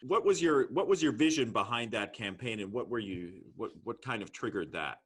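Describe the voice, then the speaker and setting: A man's voice: mid-range voice, lively intonation, normal speed, a bit bright, slightly rough. A man from Germany, a native German speaker, chatting casually as an interviewer and circling back over his phrases.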